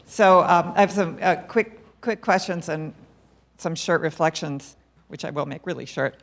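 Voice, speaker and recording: Low-voiced woman asking from the floor. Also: normal speed. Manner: effusive, full of praise. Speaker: audience member asking a question. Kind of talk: question from the floor.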